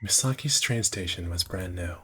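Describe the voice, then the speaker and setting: A man live streaming, reading visual novel narration aloud in a halting way, often stumbling and repeating phrases. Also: low-pitched, sharp tone, husky.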